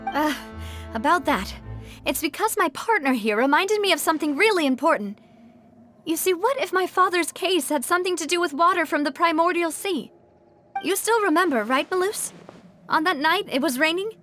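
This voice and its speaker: A spirited young lady leader from a video game, with a high voice. She sounds earnest, proud and determined, delivering lines expressively. Music runs clearly underneath. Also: lively intonation, moderate pace, pushed and bright, full character voice. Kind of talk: video game cutscene acting.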